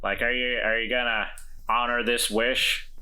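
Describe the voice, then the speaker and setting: A man's voice: medium pitch, level tone, moderate pace, sharp tone, slight background noise, rough recording. A man playing a tabletop role-playing game in character, earnest and a bit hesitant, with wry asides. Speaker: player.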